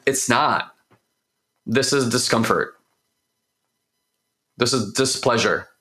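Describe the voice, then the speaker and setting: A man with a hoarse voice, recovering from losing it, hosting a personal growth talk and speaking openly and emotionally, rambling with restarts. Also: medium pitch, slow, sharp tone. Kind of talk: candid heartfelt monologue.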